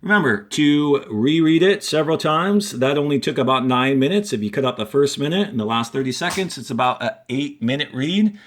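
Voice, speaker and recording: A man reading aloud and instructing as a fourth-grade teacher, with deliberate phrasing and dramatic pauses. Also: mid-range voice, animated. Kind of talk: expressive classroom read-aloud and instruction.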